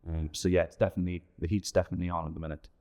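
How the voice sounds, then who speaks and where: Bloke, fairly low voice, chatting through answers as a theatre director. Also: male voice, flat delivery, very fast, a little mellow. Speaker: amateur theatre director, interview guest. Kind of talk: casual interview answers.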